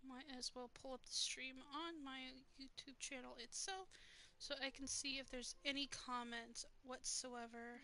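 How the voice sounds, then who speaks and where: A young woman with a soft voice, chatting casually and laughing as she streams a video game. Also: sits high, steady tone, moderate pace. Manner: rambling, chatty, laughs often. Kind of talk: casual gameplay commentary. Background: game music.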